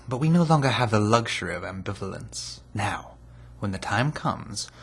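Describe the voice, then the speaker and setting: Gravelly, zealous cult leader. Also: male voice, fairly low pitch, dry recording, clean studio sound, heavily acted. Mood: solemn, demanding. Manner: solemn, deliberate, preacher-like oratory with dramatic pauses. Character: male cult leader, zealous, imperious, pious and guarded. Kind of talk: video game character dialogue.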